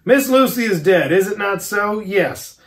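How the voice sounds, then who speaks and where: A man voicing a grave, solemn old professor who pleads in slow, formal and earnest speech. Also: high voice, lively intonation, pitched above the performer's own voice. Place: garage.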